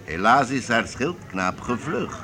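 A wily male troupe master in a radio play, genial and smooth-talking. His theatrical speech swings freely in pitch, with clear crowd noise behind him. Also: big pitch swings, slow pace. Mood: grand, courteous. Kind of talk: Dutch radio play acting.

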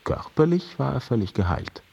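An actor playing a wry storyteller, narrating an old tale in expressive, deliberate radio drama style. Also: male voice, mid-range voice, gravelly, slightly rough, highs cut off, full character voice. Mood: wry, solemn.

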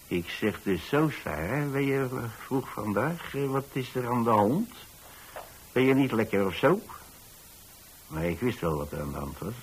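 A man's voice: mid-range voice, sing-song, measured pace, breathy edge, poor audio. An actor playing a kindly retired landlord, an older man telling a story in a hesitant, folksy, conversational way for radio drama. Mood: gently concerned. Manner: hesitant, folksy, chatty, with small asides. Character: kindly retired landlord telling about his young lodger.

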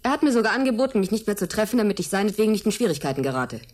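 A husky-voiced woman under interrogation in a radio drama. She is guarded and proud, answering in curt, indignant denials at a medium pitch. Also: sing-song, very fast, a little mellow. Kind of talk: German radio drama acting.

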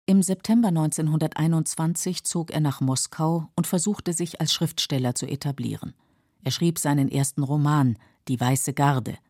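Low, mellow female narrator. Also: steady tone, normal speed, dry recording, studio quality. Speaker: narrator of a radio literary feature. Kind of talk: scripted documentary narration.

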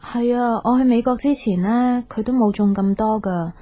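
A woman's voice: a little high, moderate pace, mellow, lo-fi sound, close to an everyday voice. An actress playing a pensive young woman with a Hong Kong Cantonese accent in a natural, conversational radio drama style. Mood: casual, sceptical.

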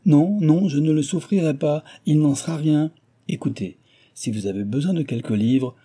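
A man reading an audiobook and voicing a stingy, gruff old male miser who blusters and scolds. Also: lowish voice. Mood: furious. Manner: blustering, shouting, scornful. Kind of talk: audiobook dialogue.

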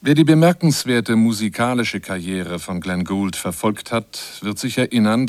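A man reading a scripted radio essay in a measured, articulate and explanatory manner. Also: fairly low pitch, no room sound. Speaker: radio feature narrator. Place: radio studio.

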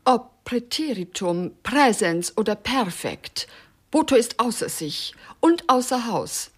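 An actress playing a poet's aggrieved wife in a satirical radio crime drama, speaking in a stilted, solemn, declamatory way. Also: female voice, a little high, very animated, slow pace, breathy edge, full character voice. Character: stilted, aggrieved wife of a poet, pedantic, theatrical.

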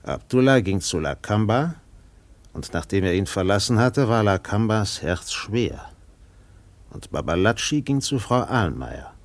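Deep, gravelly male radio-play narrator. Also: very animated. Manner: grave, deliberate. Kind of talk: scripted literary radio drama narration.

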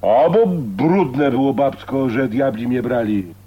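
An actor playing a gruff, foul-mouthed old working man in a radio drama, grumbling earthily in rustic Polish dialect. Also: mid-range voice, animated, slow, dull audio, a little hiss or hum.

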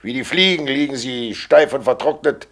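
An actor playing Death as a fat, cynical undertaker with a sardonic, matter-of-fact manner, in a 1940s German radio play. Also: male voice, medium pitch, normal speed, a bit bright, lightly acted. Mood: jovial, callous. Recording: old 1940s radio recording.

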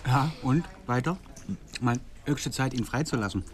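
A man acting an impatient, insistent character in a crime radio drama. His medium-pitched voice presses each point. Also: moderate pace, natural voice.

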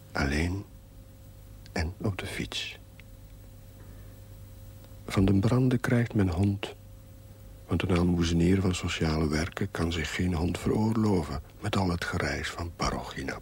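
An actor playing a reflective, modest middle-aged chaplain who murmurs his wistful diary in a measured television drama voice-over. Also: sits low. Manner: measured, musing. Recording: faintly noisy 1970s television soundtrack.

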